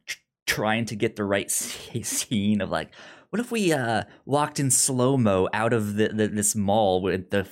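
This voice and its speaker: A man with a pronounced stutter, speaking in a halting but enthusiastic way as a podcast co-host casually discussing films. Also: lowish voice, lively intonation. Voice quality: pronounced stutter, repeating sounds and words.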